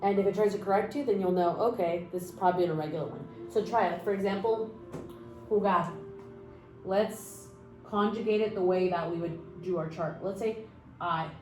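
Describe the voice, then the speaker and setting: A young woman talks through a language lesson in a loose, chatty, encouraging way, full of fillers and little asides. Her voice sits at an ordinary middle pitch. The room is echoey, with faint music underneath. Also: fairly even intonation, normal speed, darkish tone. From Mexico. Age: 20s. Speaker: language teacher. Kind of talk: casual spoken lesson. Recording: roomy echo with faint music.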